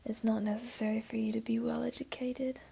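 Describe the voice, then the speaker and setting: A woman voicing a flirty, teasing, comforting jaguar beastling in an intimate ASMR roleplay, speaking softly and coaxingly in a half-whisper. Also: fairly high pitch, little pitch movement, fairly quick, creaky, breathy edge, exaggerated voice. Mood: gently coaxing.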